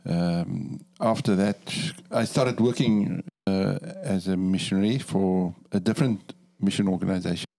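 A man's voice: sits low, steady tone, measured pace, creaky, few pauses, warm tone. A middle-aged man with a South African accent, chatting casually and unhurriedly as an interview guest with self-deprecating humour. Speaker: interview guest, missionary training director.

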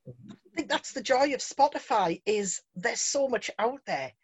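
Female interviewer, breathy, slightly high, dry recording, chatty. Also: moderate pace, dry recording. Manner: chatty, animated when quoting herself. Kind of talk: casual chat.

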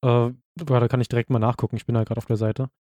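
A young man from Brandenburg co-hosting a podcast, matter-of-fact and reading out numbers slowly. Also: medium pitch, flat delivery, warm tone, no echo, dull audio. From Brandenburg, Germany. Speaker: podcast co-host. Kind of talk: casual podcast chat, reading out stats.

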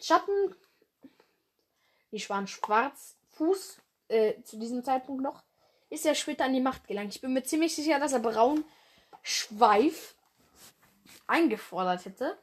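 A woman's voice: high voice, animated, measured pace, long pauses. A young woman hosting her own fan podcast, rambling casually with lots of fillers and slang, her nose stuffed up from a cold. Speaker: podcast host.

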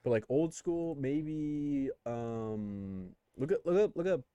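Male podcast host, mid-pitched and closely recorded, excitable, repetitive, casual banter. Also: slow, clear, resonant tone, no echo. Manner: excitable, repeats phrases for emphasis.

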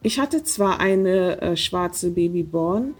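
Husky woman podcast host, chatty but lots of ums and ahs. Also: on the low side, expressive, takes it slowly. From Germany. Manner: hesitant, many fillers. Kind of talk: casual podcast interview.

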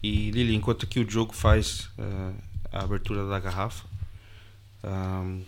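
A man hosts a podcast in a fairly low voice, courteously guiding the conversation. Faint noise runs underneath. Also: little pitch movement, normal speed. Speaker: podcast host. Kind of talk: casual chat.

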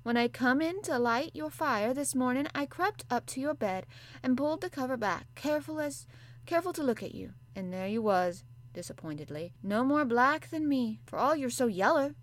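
A woman's voice: sits high, expressive, normal speed, voice pushed up. A woman voicing a blunt, good-natured young housemaid with a broad Yorkshire accent, speaking in homely dialect. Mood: chatty.

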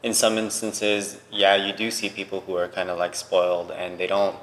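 A man hosting a podcast, asking questions in an inquisitive way and offering soft backchannels. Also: sits low, monotone, moderate pace. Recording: reverberant room with faint background noise.

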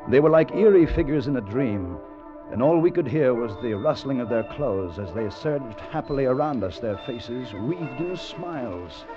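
An actor playing a cautious, wonderstruck time traveller who narrates his adventure in a dramatic old-time radio style. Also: medium pitch. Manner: dramatic, hesitant in dialogue. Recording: dull vintage radio recording with music.

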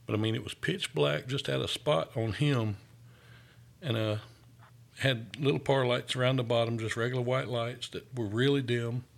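A man's voice: medium pitch, steady tone, normal speed, gravelly. A man who works as a paramedic, telling stories in an earnest, easygoing way during a casual chat. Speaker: paramedic, podcast host.

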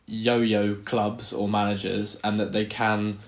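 A man's voice: fairly low pitch, little pitch movement, takes it slowly. A man co-hosting a podcast, chatting casually in an agreeable way and often restarting his sentences. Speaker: podcast co-host. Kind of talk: casual football chat.

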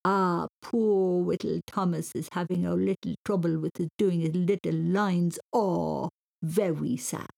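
A woman's voice: fairly low pitch, steady tone, unhurried, creaky, darkish tone, full character voice. A woman voicing a spoiled, devious little girl in a storybook, with mock baby talk and theatrical whining. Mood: mocking, babyish.

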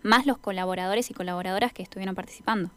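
A woman's voice: medium pitch, steady tone, very fast. A young Argentine woman co-hosting a casual radio interview, rambling and correcting herself as she goes. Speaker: radio co-host.

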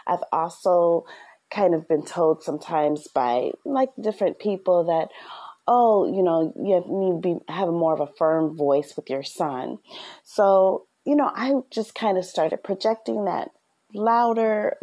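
Soft-spoken, earnest woman podcast host, a bit low-pitched, chatty parenting advice. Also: measured pace. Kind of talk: casual advice talk.

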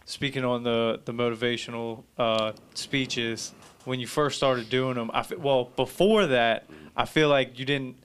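A male podcast host chats easily with his guest. His mid-range voice is smooth and clear. He talks in a casual, conversational way. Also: mid-range voice, fairly even intonation, unhurried, hardly any pauses, fairly bright tone. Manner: easygoing, conversational.

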